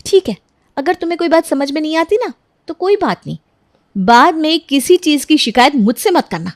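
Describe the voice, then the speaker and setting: A woman's voice: high-pitched, lively intonation, moderate pace, no echo, clean studio sound, pitched above the performer's own voice. A woman playing a careless young housewife in a family story who justifies herself and argues. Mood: huffy, annoyed. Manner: self-justifying, argumentative. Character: careless, defensive young housewife daughter-in-law.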